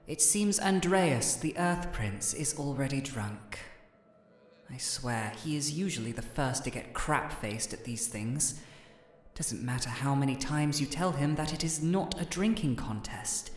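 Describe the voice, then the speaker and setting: A woman playing an aloof, regal ice elemental royal in a scripted fantasy audio roleplay, speaking in a formal, measured, deadpan way. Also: a bass voice. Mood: dry disdain.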